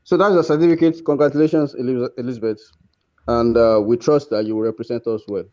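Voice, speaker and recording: A man's voice: mid-range voice, steady tone, fast, dark tone. A man with a Nigerian accent hosting an informal awards ceremony over a video call, speaking in an encouraging, congratulatory way. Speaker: host presenting course awards. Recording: dull lo-fi online call audio.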